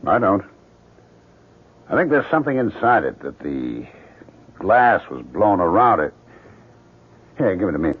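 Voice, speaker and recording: An actor playing a moody, obsessive painter in a 1970s radio drama, terse and brooding. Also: male voice, low-pitched, sing-song, a little slow, pauses often. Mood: intent, fascinated. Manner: terse, brooding, easily snappish. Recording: noisy, tinny 1970s radio broadcast.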